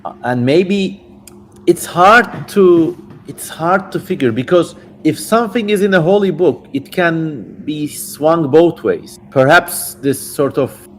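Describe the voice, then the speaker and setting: A man's voice: a little high, lively intonation, slow pace, a bit bright. A man hosting a livestream, mixing dramatic reading of an old text with casual, irreverent, slangy asides. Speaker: livestream host. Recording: livestream with clear background noise.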